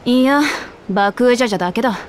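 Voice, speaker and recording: A woman's voice: fairly high pitch, racing, a little hiss or hum, natural voice. A woman voicing a brash, hearty young lioness warrior in a Japanese video game, speaking bluntly like a tomboy. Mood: matter-of-fact explaining. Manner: blunt, rough tomboyish speech.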